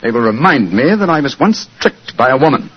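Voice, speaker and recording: An actor playing an assured, courteous gentleman detective with a precise manner, in 1940s radio drama style. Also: mid-range voice, sing-song, brisk, slightly rough. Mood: admiring, rueful. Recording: dull vintage radio recording.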